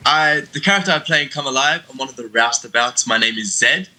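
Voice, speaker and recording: A man's voice: mid-range voice, animated, fast talker, pushed and bright. A young Australian man in his twenties, a stage performer, answering interview questions over a video call in a friendly, loose way with many fillers. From Sydney, Australia. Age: 20s. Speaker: stage actor and dancer, guest.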